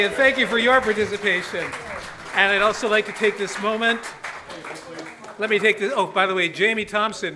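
A male host introducing performers at a small cabaret stage, upbeat, reading bios with quick asides. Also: high voice, noisy background. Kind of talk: emcee introductions. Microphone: stand mic. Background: audience.

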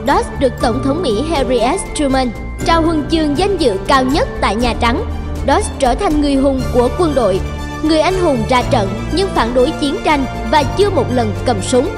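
Woman narrator, formal scripted reading, high voice, loud music behind. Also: animated, moderate pace.

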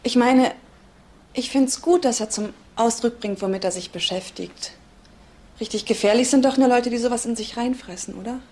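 A woman's voice: a little high, moderate pace, darkish tone, natural voice. An actress playing a defensive, earnest woman being questioned, speaking emphatically in a German crime radio drama.

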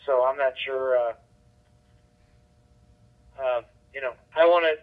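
A man's voice: medium pitch, takes it slowly. A man chatting casually as an amateur radio operator over a digital two-way radio. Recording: muffled lo-fi digital radio transmission.

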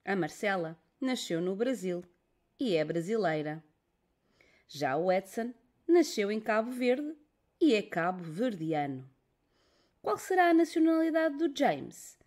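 A woman's voice: mid-range voice, a little mellow. A woman from Portugal with a European Portuguese accent, patiently narrating a children's lesson. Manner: slow, patient, explaining to children. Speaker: teacher narrator.

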